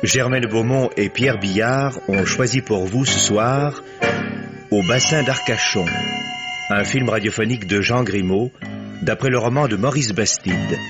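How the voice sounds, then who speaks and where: A man announcing the credits of a 1950s radio drama in a formal, measured way. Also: mid-range voice. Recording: old radio recording with loud music.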